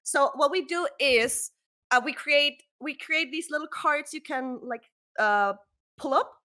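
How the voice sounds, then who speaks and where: A woman's voice: high-pitched, unhurried, bright, forceful tone. A woman co-hosting a casual podcast, rambling with lots of ums and restarts. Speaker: podcast co-host.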